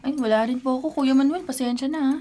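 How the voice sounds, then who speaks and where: Woman as a high-voiced, timid younger sister. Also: fast, dark tone, a little hiss or hum, lightly acted. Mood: apologetic. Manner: hesitant, pleading. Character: timid, apologetic younger sister, a secretly pregnant shop worker. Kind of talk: radio drama acting.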